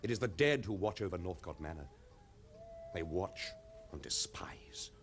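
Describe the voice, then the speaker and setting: An actor with an English accent playing a bitter, haunted manor gentleman in a formal, ominous radio drama style. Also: low voice, animated, measured pace. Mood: ominous, brooding. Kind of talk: 1970s radio drama acting. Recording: noisy old radio play tape.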